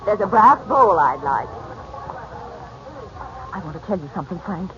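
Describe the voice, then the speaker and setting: A woman's voice: lowish voice, animated, moderate pace, background noise, natural voice. An actress with an American accent playing an anxious, refined middle-aged mother in a 1940s radio serial. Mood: genteel, chatty. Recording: muffled 1940s radio recording with music.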